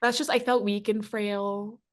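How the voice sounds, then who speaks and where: A woman's voice: mid-range voice, little pitch movement, measured pace. A woman co-hosting a casual comedic book chat, playful and sweary, sounding a little stuffy from a cold. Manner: playful, profane, mock-whiny. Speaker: podcast co-host.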